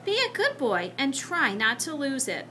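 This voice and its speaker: A woman's voice: high voice, huge pitch swings, moderate pace, sharp tone, slight background noise, full character voice. A woman voicing a stern but concerned female schoolteacher in a children's storybook, firm and admonishing.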